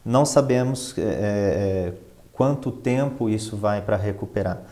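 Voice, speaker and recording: A man's voice: fairly low pitch, a little slow, mellow. A Brazilian man speaking conversationally as an expert guest, explaining things with emphatic repetition and rhetorical questions. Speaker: interview guest, finance professional and professor.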